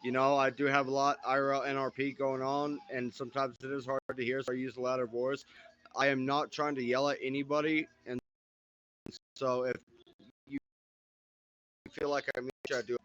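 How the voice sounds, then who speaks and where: A man with a loud voice asking polite questions at a casual meeting, often saying sir. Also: medium pitch, level tone, normal speed, pauses often, no room sound, highs cut off. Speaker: roleplay officer.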